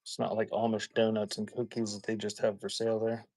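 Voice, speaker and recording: A man chatting casually in a folksy, halting way as he hosts a live sale and shows items. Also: fairly low pitch, completely flat delivery, darkish tone, no echo, studio quality. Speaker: live auction seller hosting a stream. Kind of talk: casual rambling chat while showing items for sale.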